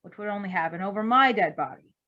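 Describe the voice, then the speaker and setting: A woman's voice: mid-range voice, animated, quick pace, bright, forceful tone. A dry superhero man read by a woman talks in precise, formal sentences with an exasperated streak. It is amateur storybook dialogue. The recording sounds dull and lo-fi. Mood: dry, reassuring. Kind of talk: amateur fan fiction character reading.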